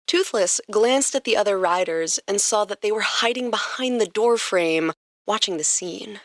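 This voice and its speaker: A woman's voice: medium pitch, moderate pace, no echo, studio quality. A woman hosting a podcast and narrating a story expressively from a script.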